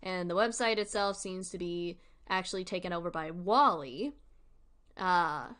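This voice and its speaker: A woman's voice: fairly low pitch, a little slow, a bit bright. A woman hosting a livestream with casual, informal commentary, rambling and thinking aloud as she goes. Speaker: livestream host.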